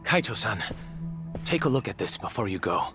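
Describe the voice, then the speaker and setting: A man's voice: fairly low pitch, sing-song, moderate pace, music in the background. An actor playing a polite, studious male investigator's assistant in a game cutscene, wording things formally and precisely. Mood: polite, earnest. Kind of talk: dramatic game cutscene dubbing.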